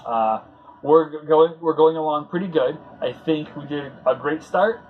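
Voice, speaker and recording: A man's voice: fairly high pitch, normal speed, phone-quality sound, slight background noise. A man hosting a comic book read-along, giving casual commentary in a rambling, conversational, fan-like way.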